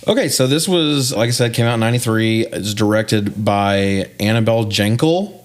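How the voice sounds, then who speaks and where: A man's voice: on the low side, normal speed. A man in his forties co-hosting a casual movie podcast, chatting in a slangy, joking, mildly profane way. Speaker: podcast co-host.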